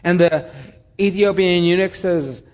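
A pastor giving a conversational sermon with humorous asides. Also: male voice, high voice, a little slow, a little mellow, long reverb tail.

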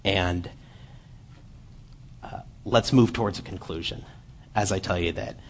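A man in his late twenties giving a church sermon, lively while telling a story and then quiet and earnest as he reads scripture. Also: sits low, a little slow, gravelly, slightly rough. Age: 20s. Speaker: lay preacher.

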